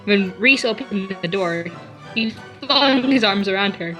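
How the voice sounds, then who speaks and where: A woman's voice: mid-range voice, expressive, measured pace, a bit bright. A woman casually reading fan fiction aloud, stumbling over words now and then. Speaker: reader. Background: faint classical music.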